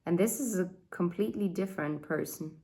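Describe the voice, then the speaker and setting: A woman's voice: lowish voice, brisk. A woman in her thirties giving a casual tarot reading, chatty and thinking aloud with fillers and asides. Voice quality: says she has a loud voice. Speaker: tarot reader.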